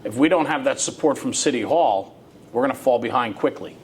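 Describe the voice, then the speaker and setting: An American man interviewing conversationally as a show host, engaged and explaining his points at length. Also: fairly quick. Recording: faint room noise with low rumble.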